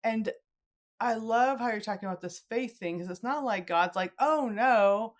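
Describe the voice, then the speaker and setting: A middle-aged woman hosting a podcast interview in a casual, chatty and expressive way. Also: on the high side, normal speed. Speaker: podcast host.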